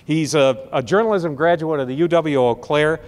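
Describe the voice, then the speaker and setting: A man giving an impassioned speech at a rally, slowing down to stress key words. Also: on the high side, in a large hall. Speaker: rally speaker introducing the next speaker.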